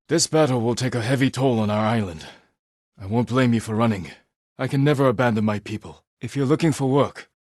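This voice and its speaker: An actor playing a grave, honor-bound young samurai lord in a video game, speaking in a measured, restrained way with short, solemn sentences. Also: male voice, medium pitch, dry recording, clean studio sound, lightly acted.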